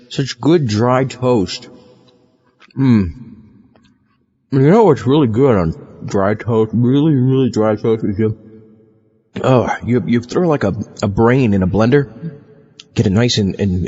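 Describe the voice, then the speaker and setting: A man's voice: medium pitch, lively intonation, a little slow, pauses often, dark tone, husky. A man playing a goofy, macabre astronaut horror host, rambling theatrically on a comic podcast. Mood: ghoulishly savoring. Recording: dull audio with faint music.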